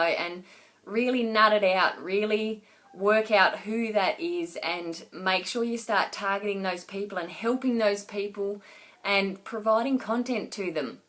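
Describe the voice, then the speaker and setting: A woman's voice: mid-range voice, fairly bright tone. A woman coaching her viewers in a casual live video, rambling with many fillers and restarts, losing her voice to a cough. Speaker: online business coach.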